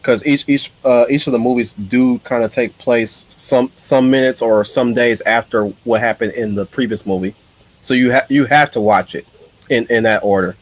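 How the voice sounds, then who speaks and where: A man hosting a casual live stream, talking bluntly and tripping over his openings with repeated words. His voice sits at an ordinary pitch. It is recorded close and dry, with no room sound. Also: fairly even intonation, normal speed, dry recording. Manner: blunt, repeats words while starting sentences. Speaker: stream host.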